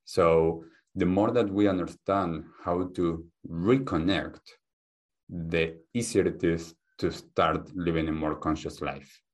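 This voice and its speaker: A man with a very low voice and a mixed accent rooted in Argentine Spanish talks as a podcast guest. He speaks softly and in a measured way, thinking out loud. His manner is calm and reflective. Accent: mixed, hard to place, Argentine-Spanish base. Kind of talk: calm interview talk.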